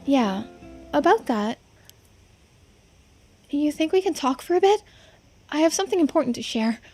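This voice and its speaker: A woman's voice: high voice, animated, normal speed, warm tone, faint music behind. A woman voicing a playful, ageless teenage girl in a video game, with a hesitant, understated and coy way of talking. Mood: hesitant, confiding. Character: immortal centuries-old girl who looks seventeen, coy, playful. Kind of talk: voiced visual novel playthrough.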